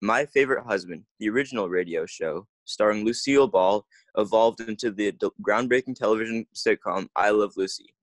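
A man's voice: on the low side, fairly quick, hardly any pauses, no room sound, poor audio. A man announcing a scripted old-time radio comedy as its narrator.

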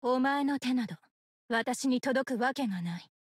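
Young female ninja for an anime game: high, slightly breathy, earnest, terse, fiercely loyal. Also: expressive, moderate pace, no echo, clean studio sound. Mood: cool, guarded. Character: devoted young female ninja, quiet, earnest, possessive of her master. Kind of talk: Japanese anime game character voice lines.

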